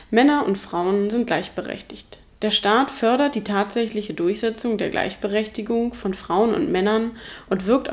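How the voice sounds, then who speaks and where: A woman reading scripted documentary narration, clear and even, with rhetorical questions. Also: mid-range voice.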